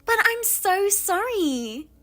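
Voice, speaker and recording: A woman plays a husband-stealing younger sister in a voiced drama, smug and taunting, her high voice leaping all over as she drags out her words. Music plays underneath her. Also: big pitch swings, sharp tone, heavily acted. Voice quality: high and sing-song, taunting. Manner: mocking, self-satisfied, drawn-out teasing.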